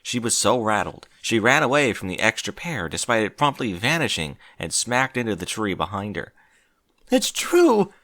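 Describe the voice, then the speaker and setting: A man reading a story aloud as narrator, in an expressive scripted style. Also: on the low side, normal speed, few pauses, no echo. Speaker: fan fiction narrator.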